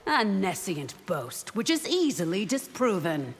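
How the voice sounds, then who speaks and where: A woman playing a proud, devout and fierce bodyguard warrior in a video game, speaking in a formal, defiant, measured way. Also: medium pitch, very animated. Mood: defiant, stern. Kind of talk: video game character acting. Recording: long reverb, faint noise behind.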